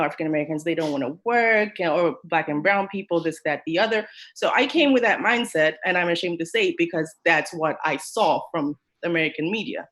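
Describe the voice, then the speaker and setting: A woman's voice: fairly low pitch, a bit bright. A woman from Kenya, speaking emotionally and emphatically in a podcast discussion. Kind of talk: earnest discussion.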